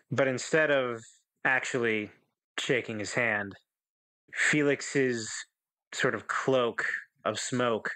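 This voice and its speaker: Slow-paced man narrating roleplay. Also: mid-range voice, sharp tone. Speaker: game master or player in actual-play podcast. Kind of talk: narrating a tabletop roleplay scene.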